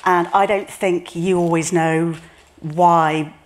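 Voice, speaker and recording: A woman executive producer speaking on a live panel in a measured, dry way. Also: on the low side, steady tone. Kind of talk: casual panel talk. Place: stage before a live audience.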